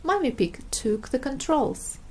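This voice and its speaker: A woman reading a children's storybook aloud in an expressive style. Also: sits high, measured pace, breathy edge. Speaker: storybook reader.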